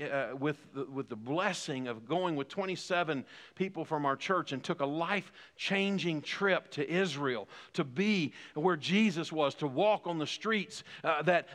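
Fifty-something pastor preaching, high bright voice, echoey church hall. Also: male voice. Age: 50s. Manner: preaching with rising emphatic repetition. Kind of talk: sermon.

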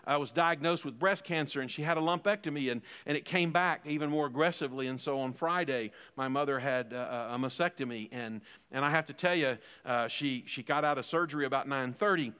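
A man's voice: mid-range voice, fairly quick, few pauses, bright, forceful tone, clear, resonant tone, in a large hall. A man in his fifties preaching a sermon as pastor, building emphasis through repeated phrases. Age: 50s. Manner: preaching with rising emphatic repetition.

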